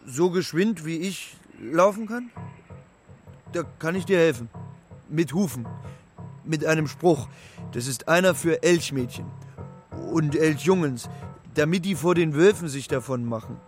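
A young moose speaks in a lightly high voice, simply and earnestly. He is homesick and naive, taking every word literally, in a children's radio play with faint music behind him. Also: lively intonation. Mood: eager, earnest. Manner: simple, halting, literal way of talking.

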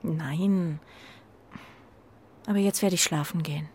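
A woman playing a homesick, loyal carrier pigeon in a children's radio play, speaking in a dreamy, hesitant, breathy way. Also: low-pitched, slow, a little mellow, exaggerated voice. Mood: sad, hesitant.

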